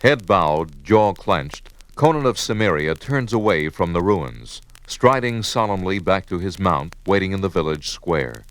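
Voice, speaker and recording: A man's voice: low voice, lively intonation, a little slow, few pauses, a bit bright, dry recording. A man giving solemn, scripted narration in the style of a 1970s audio drama.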